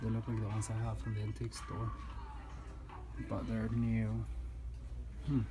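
A man's voice: fairly low pitch, completely flat delivery, normal speed, background noise. A man in his thirties filming a casual shopping vlog on a phone held at arm's length, gushing over decor in big stores and outside in a parking lot. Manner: chatty, gushing, enthusiastic. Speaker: home decor vlogger.

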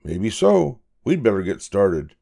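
A man's voice: lowish voice, wildly swooping pitch, a little slow, creaky, dark tone, exaggerated voice. A man doing a laid-back cousin. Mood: easygoing.

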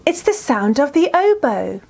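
High, animated female children's storyteller. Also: a little slow, creaky, noisy background. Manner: enunciated, encouraging, asks listeners questions. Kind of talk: scripted children's storytelling and teaching.

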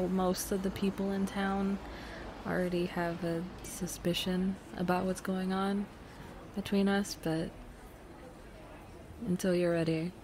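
Casting: woman as young secret girlfriend in intimate roleplay audio. Unhurried, teasing, affectionate, mid-pitched, cafe noise behind. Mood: soft, reassuring. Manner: teasing, giggly, hesitant with stammered restarts.

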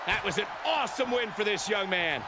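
A man playing an excitable, indignant wrestling announcer in a video game, emphatic and given to repeating himself. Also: sits high, brisk. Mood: admiring. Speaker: wrestling commentator. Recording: very noisy arena, loud crowd. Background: roaring wrestling crowd.

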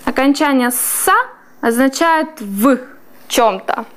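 High, lively woman teaching slowly. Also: slight background noise. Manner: slow, clearly drawn-out pronunciation of foreign words. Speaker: language teacher. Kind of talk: classroom teaching. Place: small classroom with whiteboard.

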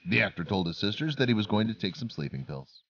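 A man's voice: on the low side, big pitch swings, fast talker, fairly bright tone, dull audio, slight background noise. A man narrating a scripted documentary-style story as a voice-over.

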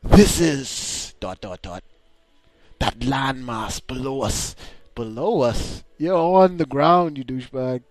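A male streamer with a mixed British, Caribbean and American accent talks slowly over a visual novel, reading lines aloud and mocking them crudely. His voice sits dry and close on a home desk mic, with faint music underneath. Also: medium pitch, no room sound. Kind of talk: casual game commentary, reading the game text aloud.

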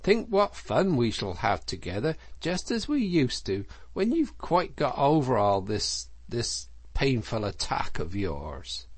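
A man's voice: mid-range voice, lively intonation, a little slow, hardly any pauses, a little mellow, breathy edge, no echo, voice pushed up. A man voicing a sceptical but kindly rat from a storybook, with a knowing, coaxing manner. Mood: kindly, firm. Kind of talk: storybook character voice.

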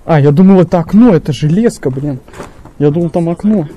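A man's voice: fairly high pitch, brisk, warm tone, highs cut off. A young man chatting casually and slangily as he narrates an exploration to camera. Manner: slangy, informal. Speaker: urban explorer and vlogger. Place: outside at night and inside an abandoned concrete bunker. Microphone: handheld or body-worn action camera. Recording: clicky, noisy handheld action camera audio.

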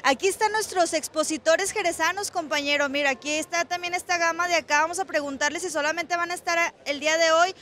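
A woman's voice: very high-pitched, steady tone, quick pace, few pauses, slight background noise. A young Mexican woman reporting from a fair with a handheld mic, chatty and upbeat, full of fillers. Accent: Mexican Spanish. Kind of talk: roving event interview. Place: outdoor fair under a large roof. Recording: loud crowd and music around. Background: band music and crowd.

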